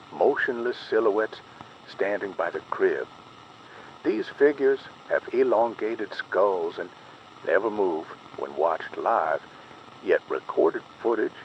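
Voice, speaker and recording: A man reading a scripted horror emergency advisory in a grave, deliberate, ominous official announcer style. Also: on the low side, dull audio, slight background noise. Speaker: narrator of an analog horror emergency broadcast.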